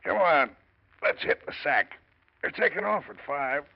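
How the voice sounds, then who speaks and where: A smooth-talking schemer, lively pitch, muffled old-time radio sound. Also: male voice, a little slow, music in the background. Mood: scheming. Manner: falsely soothing, hesitant. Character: scheming man poisoning his partner. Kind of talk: 1940s radio drama acting. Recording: dull old radio recording.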